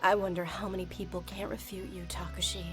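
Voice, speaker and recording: An actress voicing a firm, serious captain of an investigation agency in a visual novel, with an assertive manner. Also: female voice, fairly low pitch, flat delivery, a little fast, a bit bright, natural voice. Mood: indignant. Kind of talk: English visual novel voice acting. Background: music.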